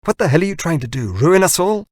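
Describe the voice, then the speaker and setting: A man's voice: a little high, very animated, fast, no echo, pitched above the performer's own voice. A man voicing an agitated, wheedling company founder in an audiobook, urgent and staccato.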